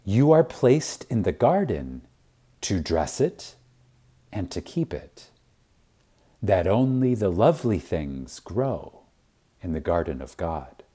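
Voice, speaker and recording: A man reading a spiritual lecture like a sermon, reverent and emphatic, with deliberate pauses for effect. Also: sits low, big pitch swings, warm tone, slightly rough.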